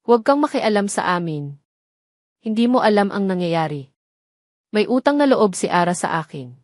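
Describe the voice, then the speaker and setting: A woman's voice: mid-range voice, big pitch swings, normal speed, a little mellow, no room sound, studio quality, lightly acted. A woman voicing an obsessive, threatening male ex-lover in an audio love drama. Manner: threatening, possessive.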